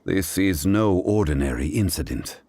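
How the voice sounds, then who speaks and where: An actor playing a composed, erudite gentleman in a video game, speaking in a measured, formal manner. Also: sits low, lively intonation, soft background music. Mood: grave, deliberating.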